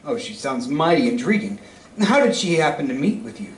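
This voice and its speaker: A man's voice: fairly high pitch, sing-song, brisk. Man acting a courteous visiting host, attentive, old-time radio drama delivery, distant microphone, roomy echo. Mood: courteous, curious. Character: polite, eager male visitor and radio announcer. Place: stage reader's theatre performance. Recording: echoey stage, distant microphone.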